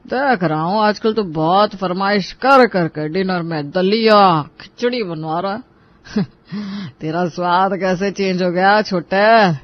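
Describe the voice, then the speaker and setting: A man's voice: high voice, slow pace, no echo, muffled recording. A man voicing a henpecked, complaining elder brother in a Hindi cartoon, talking in a familiar, plaintive way. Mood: probing. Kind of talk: dramatic Hindi cartoon dialogue.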